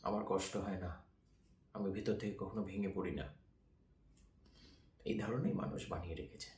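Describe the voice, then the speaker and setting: Man, lowish voice, slow pleading stage monologue, heavy pauses, echoey room. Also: fairly even intonation, a little mellow. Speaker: amateur actor performing a monologue. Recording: roomy echo, faint background noise.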